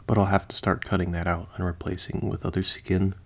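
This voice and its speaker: A man with a very deep, gravelly voice performs a quiet roleplay monologue as a zombie mortician. His speech stays flat and level, soft and unhurried, often dropping into a half-whisper as he rambles gently. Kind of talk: ASMR-style roleplay monologue.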